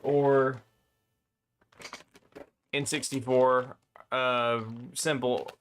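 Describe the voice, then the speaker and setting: A man's voice: medium pitch, level tone, extremely slow, slight background noise. A man streaming a hands-on console mod at his workbench, chatting casually and rambling with lots of fillers while he gets over a slight cough from a cold. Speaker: electronics modder streaming a console mod install. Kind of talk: casual live-stream talk while working.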